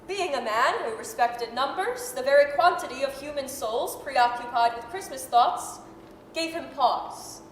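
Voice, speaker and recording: High-voiced woman narrator in an echoey hall. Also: measured pace. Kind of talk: scripted dramatic storytelling, 1930s radio style. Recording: echoey hall, faint noise.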